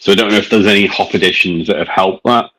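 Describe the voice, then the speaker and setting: A man with a mild northern English accent talks over a beer in a loose, rambling way, pausing often on fillers. His voice sits low and husky, yet bright and forceful in tone. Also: steady tone, poor audio. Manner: chatty, rambling, hesitant with many fillers. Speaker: beer reviewer. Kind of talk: casual chat.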